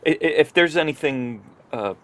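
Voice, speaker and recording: An actor playing an awkward, hesitant male research scientist in an audio drama, stammering and halting with false starts. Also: a little high, expressive, fairly bright tone. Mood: flustered.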